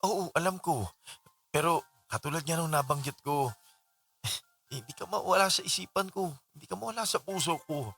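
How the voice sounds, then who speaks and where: A man's voice: on the high side, normal speed, gravelly, long pauses, fairly bright tone, slightly rough, faint music behind, heavily acted. A man acting an earnest, hesitant ex-boyfriend who is still in love, in a Tagalog radio drama dialogue. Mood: lovestruck confession. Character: lovestruck ex-boyfriend back from abroad, earnest suitor.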